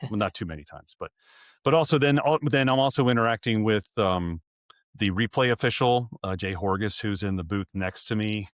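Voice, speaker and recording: A man's voice: low voice, a bit bright, no echo. A man in his forties, a sports arena announcer, chatting in an interview, rambling and correcting himself with little chuckles. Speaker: arena PA announcer, podcast guest. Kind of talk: casual interview chat.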